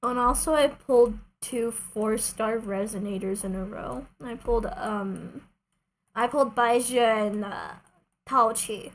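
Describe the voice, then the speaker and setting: A woman's voice: on the high side, unhurried, low-quality recording. A woman livestreaming a video game, chatting casually to herself and her viewers in a playful, self-deprecating way. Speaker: streamer, video game player. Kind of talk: casual gaming livestream chat.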